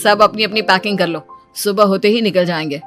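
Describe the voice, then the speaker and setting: A woman's voice: medium pitch, a little fast, a bit bright, faint music behind, pitched below the performer's own voice, lightly acted. A woman voicing a fed-up young husband in a family story, scolding and giving orders. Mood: stern. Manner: scolding, commanding.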